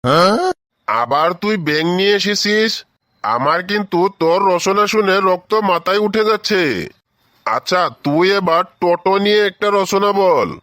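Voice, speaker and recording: A man's voice: sits high, expressive, moderate pace, a bit bright, no room sound, studio quality. A man voicing an exasperated male schoolteacher in a comedy cartoon, scolding and challenging his pupil. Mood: angry scolding.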